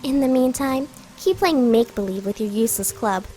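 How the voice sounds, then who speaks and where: A woman's voice: fairly high pitch, expressive, brisk, mellow, faint music behind, lightly acted. A woman playing a haughty, dismissive schoolgirl rival in an anime fan dub, speaking with condescension.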